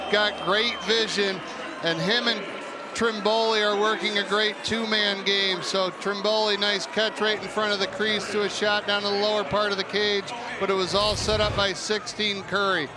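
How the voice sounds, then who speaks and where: High-voiced male analyst over loud crowd. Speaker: sports color commentator. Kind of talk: live game analysis. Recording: loud arena crowd and noise. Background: sports crowd.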